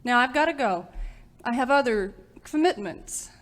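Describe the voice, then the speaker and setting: Lady playing a coy breezy starlet, high pitched, old radio serial spoof. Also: animated, normal speed, natural voice. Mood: breezy, leaving. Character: breezy glamorous actress, coy and unhurried. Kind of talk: parody old-time radio serial acting.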